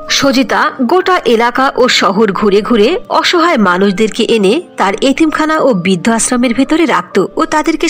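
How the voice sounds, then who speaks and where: A woman narrating a scripted cartoon fairy tale as the storyteller. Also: a little high.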